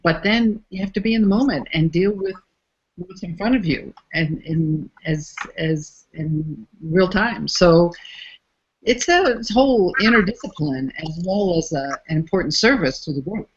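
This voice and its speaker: A woman's voice: lowish voice, expressive, unhurried, breathy edge. Thoughtful woman, muffled recording. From United States. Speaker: interviewee, facilitator. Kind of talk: reflective interview talk.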